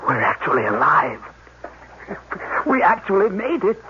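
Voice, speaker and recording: A man's voice: high voice, wildly swooping pitch, measured pace, exaggerated voice. An actor in a radio drama playing an earnest, urgent scientist looking after his crewmate. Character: steadier male scientist helping his injured crewmate, awestruck. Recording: noisy, muffled old radio broadcast.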